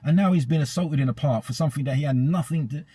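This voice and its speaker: A man's voice: a little high, fast talker, dark tone, husky, no room sound. A man with a British accent co-hosting a podcast, giving opinions in casual conversation with an emphatic, colloquial manner and repeating phrases for emphasis. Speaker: podcast co-host.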